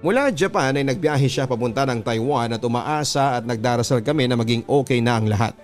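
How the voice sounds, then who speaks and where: A man's voice: medium pitch, brisk. Filipino radio storyteller man over music. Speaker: radio host reading listener letters. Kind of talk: scripted first-person letter narration for radio drama. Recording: music bed under the voice. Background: background music.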